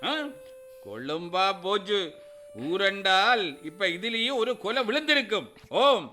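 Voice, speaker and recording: An actor playing a proud, angry older uncle who lashes out with indignant rebukes, with a Sri Lankan Tamil accent. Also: male voice, high voice, animated, unhurried, bright, forceful tone, slight background noise, exaggerated voice. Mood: scornful. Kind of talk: radio drama acting.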